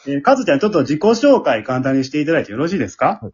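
A man's voice: a little high, sing-song, racing, husky. A man with a Kansai accent hosting a casual after-talk, switching between polite announcements and friendly chat. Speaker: host of an amateur radio drama group's after-talk. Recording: muffled lo-fi online audio.

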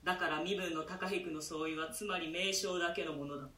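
A man's voice: on the high side, fairly even intonation, sharp tone, roomy sound, recorded from a distance. A man playing a polite, deferential jailer who speaks in formal, servile language. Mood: reflective. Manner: formal servile speech, measured.

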